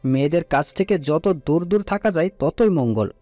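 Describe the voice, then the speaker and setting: A man's voice: fairly high pitch, normal speed, no echo, close to an everyday voice. A man voicing a playful young husband who jokes and bickers with his wife in an audio story. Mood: teasing. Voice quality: joking, lively banter. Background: soft music.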